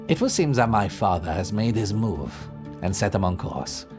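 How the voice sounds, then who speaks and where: A man voicing a brooding, protective male vampire lord in an audio drama, smooth and lilting, sinking into low menacing murmurs. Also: big pitch swings, normal speed. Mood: calm, scheming. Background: soft background music.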